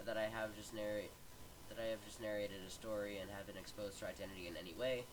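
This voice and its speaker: A man's voice: lowish voice, background noise. A man casually reading online stories aloud on a home microphone, stumbling over words and stopping to comment. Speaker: story reader.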